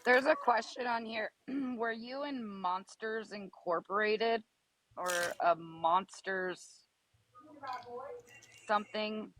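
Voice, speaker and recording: Woman, thirties, raspy voice, hesitant, probing questions, live video chat. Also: mid-range voice, slow pace, a bit bright, a little hiss or hum, poor audio. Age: 30s.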